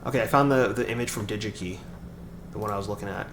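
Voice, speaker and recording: A man with a raspy voice chatting casually on a live stream, rambling through explanations with lots of fillers and restarts. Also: on the low side, quick pace. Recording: echoey room with background noise.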